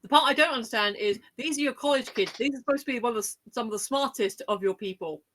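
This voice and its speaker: A woman's voice: a little high, fast talker, a bit bright. A woman co-hosting a podcast, chatting casually in a wry, frank way. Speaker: podcast co-host. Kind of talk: casual chat.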